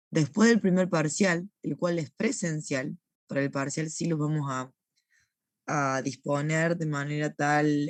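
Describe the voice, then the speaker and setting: A young woman lectures into a recorded online class, her voice hoarse and a little rough from a lingering cough. She explains things patiently and keeps checking that everyone is following. The sound is dry and close, with no room echo. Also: low-pitched, darkish tone. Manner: patient, repeats points and checks in with "¿sí?". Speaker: university teaching assistant.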